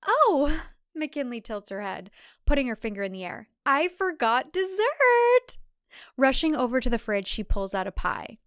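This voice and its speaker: Clear woman narrating suspense fiction. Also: mid-range voice, fairly quick, no room sound, studio quality. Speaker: author and narrator. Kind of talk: scripted dramatic fiction narration.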